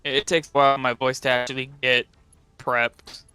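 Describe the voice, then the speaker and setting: A man's voice: mid-range voice, level tone, moderate pace, sharp tone, clear, resonant tone, no echo, soft background music. A man joining a stream as a friend, teasing and laughing through casual banter despite a sore throat. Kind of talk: casual gaming stream chat.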